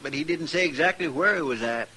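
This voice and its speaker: Folksy American frontier deputy, eager and fretful, highish bright voice, vintage radio western. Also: male voice, fast. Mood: chatty. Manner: folksy, rustic grammar. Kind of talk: old-time radio western acting. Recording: vintage radio recording with faint noise.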